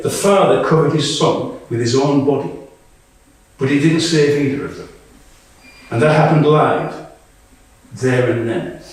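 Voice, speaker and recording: A man performing a dramatic monologue as a candid, war-weary woman soldier, with deliberate, emphatic phrasing and pauses. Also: medium pitch, natural voice. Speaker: actor reading a monologue. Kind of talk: live dramatic monologue reading. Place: small live reading indoors. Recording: echoey room, distant microphone.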